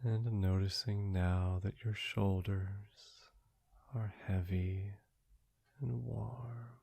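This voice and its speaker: A man leading a guided sleep meditation in a soothing, gentle, hushed voice, breathy and often trailing into a near-whisper, with long pauses between short phrases. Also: lowish voice, steady tone. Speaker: meditation guide, podcast host.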